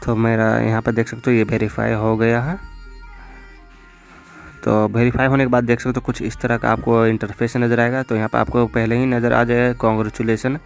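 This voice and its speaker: A man's voice: medium pitch, little pitch movement, normal speed, creaky. A young man hosting a step-by-step app tutorial in an instructional, repetitive way. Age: young adult. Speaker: tech tutorial video host. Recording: music bed behind voice. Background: background music.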